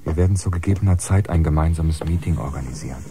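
An actor playing an assured spaceship coordinator, explaining in an official manner in a German audio drama. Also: male voice, very deep voice, fast. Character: assured male spaceship coordinator guiding a newcomer. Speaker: coordinator and guide.

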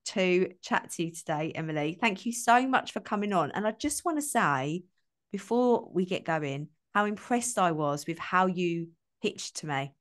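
An enthusiastic woman podcast host in her thirties, chatting warmly with a guest and repeating her excitement, on a desk mic. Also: on the low side, moderate pace. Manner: gushing, enthusiastic, repeats herself. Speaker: podcast host, self-belief coach. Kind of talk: casual interview chat. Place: bright home office. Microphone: studio desk mic on a video call.

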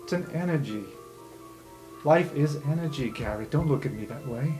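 A man's voice: medium pitch, lively intonation, normal speed, warm tone, close to an everyday voice. A man voicing an unrepentant, doting professor in a fantasy game, speaking with fond, unbothered courtesy. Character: unrepentant, doting male professor who drains life. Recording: music bed under the voice.

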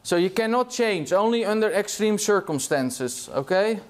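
High-voiced Dutch lecturer, chatty. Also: male voice, animated, moderate pace. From Netherlands. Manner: casual, chatty, tags remarks with "yeah?". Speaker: university lecturer. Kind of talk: classroom lecture. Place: large lecture hall.

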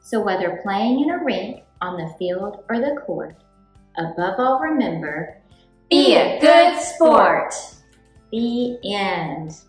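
A woman reading a children's book aloud in an expressive, deliberate storytime style for young children. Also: mid-range voice, roomy sound, faint music behind, rough recording. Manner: clear, deliberate, animated delivery for young children.

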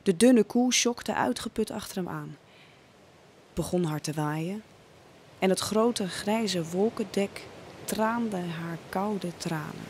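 A woman's voice: medium pitch, takes it slowly, darkish tone, slight background noise. A woman narrating a scripted audio drama as a dramatic storyteller.

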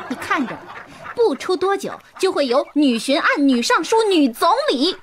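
A sassy, teasing young lady in a radio comedy. Her voice is high and lively, with clear noise and crowd sound behind it. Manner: teasing, boastful. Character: sassy young female cousin of the magistrate. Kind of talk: comic radio drama acting.

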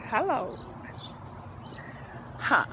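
A woman's voice: very slow, a little hiss or hum. An older woman who says she is losing her voice gives an upbeat, preachy tour of her backyard garden, filmed outdoors on a handheld phone. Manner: lively, preachy encouragement with church phrases. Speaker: vlogger. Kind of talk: casual home video tour.

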